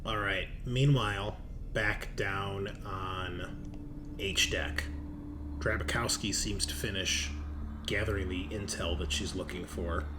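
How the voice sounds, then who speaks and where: A man's voice: low-pitched, steady tone, slow pace. A man acting as game master, narrating a tabletop roleplaying game in an informal, conversational way. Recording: noisy recording.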